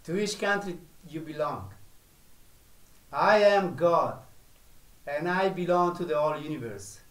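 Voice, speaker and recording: Slow-speaking male spiritual teacher, echoey. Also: a little high, lively intonation, fairly bright tone. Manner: slow, deliberate delivery with long pauses.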